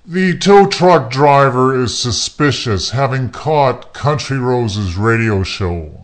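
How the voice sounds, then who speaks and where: A man's voice: mid-range voice, animated, slow pace. A man narrating a scripted radio play as its storyteller. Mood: storytelling. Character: storytelling narrator of a crime comedy.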